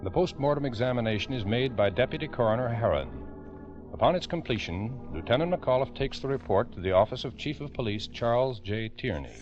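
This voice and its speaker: An actor as a grave, formal narrator of a scripted old-time radio crime drama. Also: male voice, medium pitch, expressive, brisk, over music, natural voice. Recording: dull vintage radio recording.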